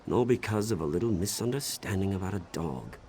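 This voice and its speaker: A man's voice: deep voice, lively intonation, fast talker, warm tone, a little hiss or hum. An actor playing an exasperated, grumbling townsman in a fantasy game. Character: exasperated townsman caught in a petty neighborly quarrel. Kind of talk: scripted fantasy game dialogue.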